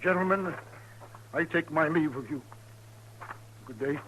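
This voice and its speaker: Guy doing a lonely old medicine show man, formal and pleading, kinda high voice, 50s radio western, hummy noise. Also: unhurried, close to an everyday voice. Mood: wounded, pleading. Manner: florid, formal, pleading speech. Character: lonely old traveling medicine peddler, wounded and flowery. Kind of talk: 1950s radio western acting. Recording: noisy, humming old radio recording.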